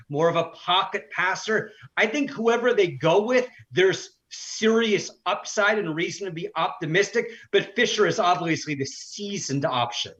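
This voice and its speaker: Man, high voice, sports analyst, conversational interview answers, muffled lo-fi recording. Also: fairly bright tone. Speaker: sports play-by-play broadcaster, interview guest.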